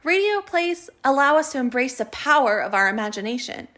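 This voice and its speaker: A woman's voice: high voice, big pitch swings, a little fast, fairly bright tone. A woman reading a scripted introduction as a radio announcer. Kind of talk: scripted narration.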